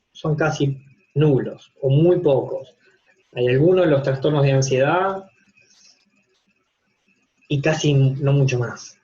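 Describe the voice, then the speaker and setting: A man's voice: fairly high pitch, a little mellow. A man with an Argentine accent giving an informal online university lecture from a home office, explaining step by step with everyday examples and rhetorical questions. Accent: Argentine Spanish. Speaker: university psychopathology teacher. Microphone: video call. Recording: dull, lo-fi video-call audio.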